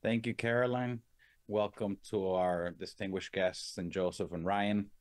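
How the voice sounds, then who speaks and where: A man moderating a webinar panel, introducing speakers in a measured way with occasional fillers. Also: fairly low pitch, fairly even intonation, no echo, clean studio sound. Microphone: video call.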